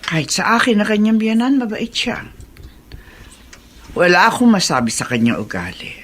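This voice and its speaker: An actress plays a caring old Filipino grandmother in a radio drama. She speaks with a lively, swinging intonation and gently probes her family. Faint noise sits behind the voice. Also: medium pitch, moderate pace, natural voice. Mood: concerned, musing. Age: older. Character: caring, inquisitive grandmother and mother of a grown son.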